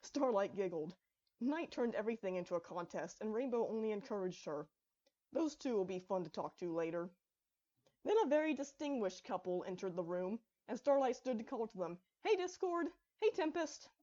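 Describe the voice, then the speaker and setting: A woman's voice: medium pitch, animated, brisk, dark tone, lo-fi sound. A woman reading her own fan fiction aloud as scripted story narration.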